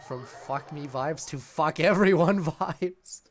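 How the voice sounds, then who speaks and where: A man's voice: on the high side, slow, a little hiss or hum. A man giving casual, sardonic and sweary commentary as a game streamer.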